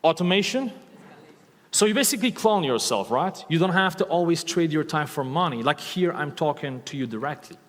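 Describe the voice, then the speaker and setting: Energetic Polish-accented man on stage. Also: a little high, brisk. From Poland. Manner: enthusiastic, asks the audience questions, repeats key words. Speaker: speaker giving a talk on stage. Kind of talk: energetic live stage talk to an audience. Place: large hall with live audience. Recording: long hall reverb from a stage talk.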